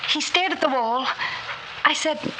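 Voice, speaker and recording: Actress as an anxious, higher-voiced schoolteacher in old radio. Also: female voice, normal speed, natural voice. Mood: tense recounting. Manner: earnest, solicitous, dramatic. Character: polite, anxious schoolteacher woman recounting an eerie story. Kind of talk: 1960s radio drama acting, dialogue and narration. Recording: noisy old radio drama recording.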